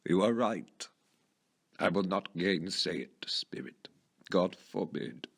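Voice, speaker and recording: An actor playing an old miser moved by his memories in a storybook reading, husky, wistful and exclamatory. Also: sits low, expressive, slow pace, a bit bright, full character voice. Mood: subdued, remorseful.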